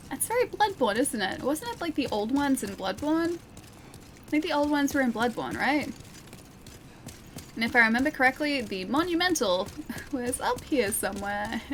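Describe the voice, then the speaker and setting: A young woman from Australia chats and giggles through a live game stream, talking to her viewers as she plays. Her voice sits a little high. A faint hiss runs behind her. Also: a bit bright. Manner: chatty and giggly, talks to chat. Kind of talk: casual live-stream commentary.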